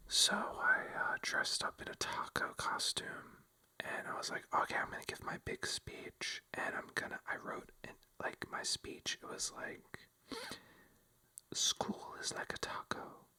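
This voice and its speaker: A young man telling a story in a close, soft ASMR whisper, rambling and self-mocking with lots of fillers. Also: extremely high pitch, monotone, a little slow.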